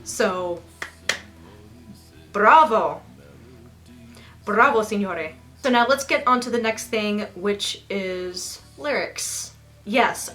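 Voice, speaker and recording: A young woman with a slight lisp reviewing a goth album in a casual, bubbly and dramatic way, a little sniffly and rough from allergies. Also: medium pitch. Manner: bubbly and dramatic, squeals with excitement.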